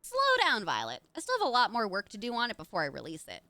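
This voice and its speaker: A woman reading Nora, a calm, modest young artist. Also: fairly high pitch, fast talker, no echo, full character voice.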